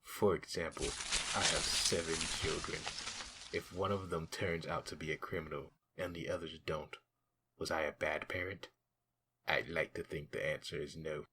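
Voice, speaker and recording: An actor playing a calm, dutiful male police officer in a comic dub, husky, measured, polite and formal. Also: rumbling low, a little hiss or hum, exaggerated voice, rough recording. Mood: calm, earnest.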